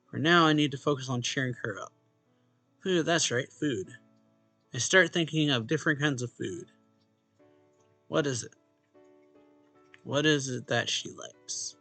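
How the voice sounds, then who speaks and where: A man's voice: mid-range voice, gravelly, bright, forceful tone. A man reading a visual novel's narration and dialogue aloud expressively, as a let's play host. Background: game music.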